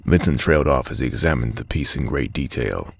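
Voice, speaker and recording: A man with a deep, gravelly voice reading scripted story narration for an audio drama. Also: expressive, fairly quick, dry recording, clean studio sound. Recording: music behind the voice.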